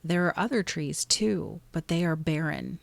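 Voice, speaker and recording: A woman narrating a guided meditation in a soothing, gently instructive way, pausing between short phrases. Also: low voice, expressive, vocal fry, no echo.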